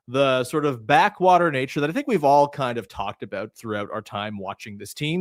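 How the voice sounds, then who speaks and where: A man's voice: medium pitch, no room sound, studio quality. A man, speaking as a sports podcast host. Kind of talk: sports podcast talk and sponsor reads.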